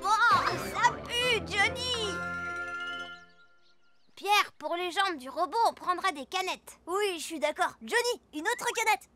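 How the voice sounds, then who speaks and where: An eager, friendly little cartoon schoolboy, with a very high, bouncy pitch. He speaks earnestly and in exclamations, with music underneath. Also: animated, takes it slowly, sharp tone, exaggerated voice. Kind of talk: children's cartoon dub.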